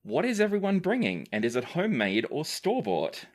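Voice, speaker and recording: A woman with an Australian accent hosting a casual Q&A chat, reading out questions and talking in an animated way. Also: low voice, fairly quick. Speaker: podcast host reading listener questions.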